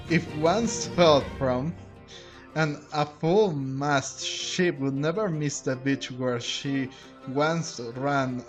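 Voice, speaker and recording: A man doing casual gameplay commentary, thinking aloud in a halting way and sometimes reading game text. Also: medium pitch. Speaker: game streamer. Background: game music.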